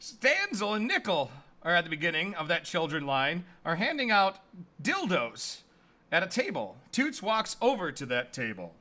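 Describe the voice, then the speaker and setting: A man reads stage directions at a comedy table read. His voice is bright and forward, with lively intonation as he narrates the cartoon script. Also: high-pitched, moderate pace.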